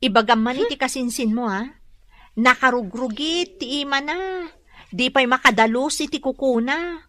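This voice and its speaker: A woman's voice: high voice, normal speed, a bit bright. A woman playing a brazen, jealous, scornful neighbour in an Ilocano radio drama, speaking with an Ilocano accent. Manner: scornful, confrontational.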